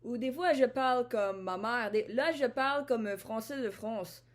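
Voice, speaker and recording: Give me a high-pitched woman from Ottawa musing introspectively in a rambling vlog. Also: normal speed. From Ottawa, Canada. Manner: introspective, repeats and restarts phrases. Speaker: vlogger. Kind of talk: rambling personal vlog monologue.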